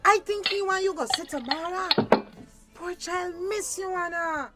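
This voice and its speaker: A woman's voice: very high voice, moderate pace. An actress playing a worried, weary woman in a radio drama, with a Belizean Creole accent. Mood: gently reproachful. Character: worried, weary woman pleading with drunken friend. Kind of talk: radio drama acting in dialect. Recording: radio drama with faint music behind.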